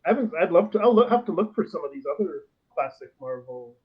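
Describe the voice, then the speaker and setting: Casting: male podcast co-host, fairly high husky voice, casual chat, muffled lo-fi audio. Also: big pitch swings, quick pace.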